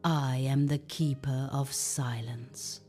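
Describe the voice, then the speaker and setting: A woman's voice: very deep voice, steady tone, takes it slowly, a little mellow, soft background music, voice pushed down. A woman voicing a menacing, hissing shadow creature that guards silence in a children's storybook.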